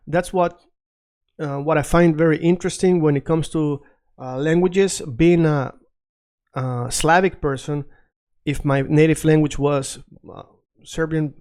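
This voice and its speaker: Man, fairly high voice. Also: steady tone, unhurried, a little mellow. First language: Spanish. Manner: patient, checks in often with "right?". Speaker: language teacher. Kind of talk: explaining a language lesson.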